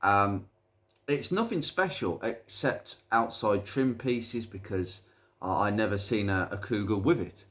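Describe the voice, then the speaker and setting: A British man with a slightly croaky voice from hay fever, presenting an informal car video in a chatty, hesitant way with rambling asides. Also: fairly low pitch, expressive, measured pace, a bit bright. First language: English. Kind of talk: informal car video commentary, reading out a viewer's message.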